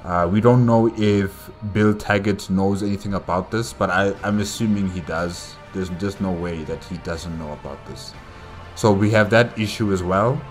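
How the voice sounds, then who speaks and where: A man hosting a reaction video, talking through a casual recap and thinking aloud in a halting way. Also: low-pitched, soft background music.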